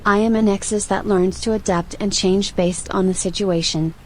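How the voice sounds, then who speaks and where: A woman's voice: medium pitch, fairly even intonation, darkish tone, natural voice, rough recording. A woman playing a composed, inquisitive artificial intelligence with a formal, dry manner in an audio roleplay. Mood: matter-of-fact. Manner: formal, measured, matter-of-fact. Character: composed, inquisitive female archive AI with dry attitude.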